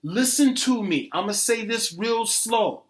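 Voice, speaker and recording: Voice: mid-range voice, expressive, unhurried, lightly acted. A performer playing an arrogant, self-righteous believer in a satirical dialogue, speaking with emphatic, impatient condescension and swearing.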